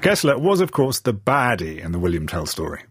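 A man presenting a scripted radio news feature, reading his narration with lively delivery. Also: lowish voice, fairly quick, slight background noise.

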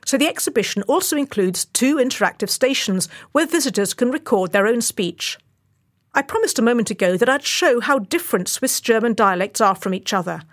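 A woman reporter narrating a scripted radio feature in a clear, explanatory way. Her voice sits at an everyday middle pitch, steady and composed.

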